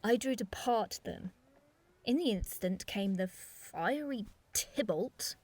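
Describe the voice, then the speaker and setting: A woman's voice: medium pitch, lively intonation, takes it slowly, a little mellow, no echo. A woman playing an earnest, peacemaking young noble in a Shakespearean audio drama. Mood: concerned. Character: Benvolio, concerned peacemaking young noble kinsman.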